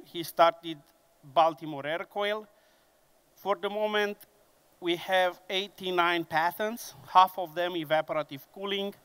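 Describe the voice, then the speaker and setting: Conference presenter: man in his 50s, Romanian accent, non-native English, slightly higher voice, unhurried delivery with many pauses. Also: a bit bright, clear, resonant tone. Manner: unhurried and halting, with frequent fillers and restarts. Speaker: conference speaker.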